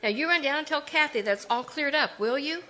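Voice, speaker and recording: A woman playing a cheerful, trusting young wife in 1940s radio acting style, warm, reassuring and brisk. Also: high voice.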